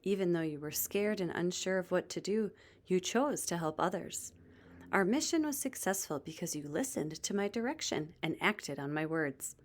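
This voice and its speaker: A woman's voice: fairly low pitch, lively intonation, normal speed, a little hiss or hum, lightly acted. A woman voicing a kind male mentor in a children's storybook, teaching in an encouraging, instructive way. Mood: earnest.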